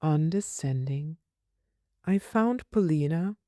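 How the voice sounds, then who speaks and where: Very slow, mellow woman reading a novel. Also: deep voice, lively intonation, no room sound, studio quality. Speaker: audiobook reader. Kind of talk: scripted literary narration.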